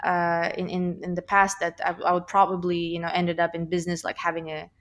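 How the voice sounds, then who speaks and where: A woman's voice: lowish voice, completely flat delivery, brisk. A young woman with a slight Russian accent, a guest talking thoughtfully in a casual interview, with many fillers and restarts. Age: young adult.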